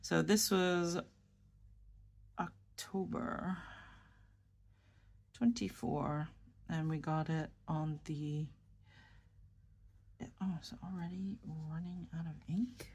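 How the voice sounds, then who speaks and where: Low, slow, gravelly-voiced woman from New York. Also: fairly even intonation, a little mellow. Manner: rambling, self-correcting, murmurs while writing. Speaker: fountain pen vlogger. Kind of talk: casual chat while writing.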